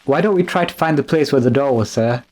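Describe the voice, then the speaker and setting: A man's voice: medium pitch, fast, darkish tone, natural voice. A man playing a loyal, deferential manservant in a radio drama, hesitant and anxious. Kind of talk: old-time radio drama acting.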